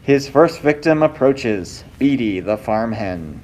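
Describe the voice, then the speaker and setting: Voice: mid-range voice, lively intonation, slow pace, a little mellow, slight background noise, rough recording. A narrator delivering scripted storytelling for a children's puppet show.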